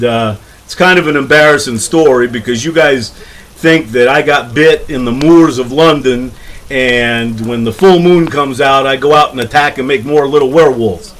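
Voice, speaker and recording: A man's voice: mid-range voice, normal speed, fairly bright tone, lightly acted, rough recording. A man playing a touchy, streetwise werewolf with a New York accent, blunt and grumbling in a comic radio interview. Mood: grumbling storytelling.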